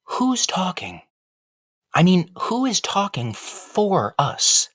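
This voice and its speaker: A man playing a philosophical wooden ventriloquist dummy in a comic audio play, an insistent, questioning underdog with a husky voice. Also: sits high, very animated, takes it slowly, fairly bright tone, exaggerated voice. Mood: puzzled, pondering.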